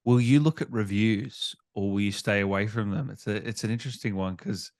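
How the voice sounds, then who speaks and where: A man's voice: on the low side, a little fast, darkish tone. A man interviewing a guest as a gaming show host, in a casual, conversational style.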